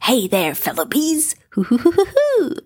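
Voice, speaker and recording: A woman's voice: sits high, huge pitch swings, unhurried, a little mellow, dry recording, pitched above the performer's own voice, exaggerated voice. A woman voicing an exuberant, boisterous male tiger who whoops in a children's bedtime story.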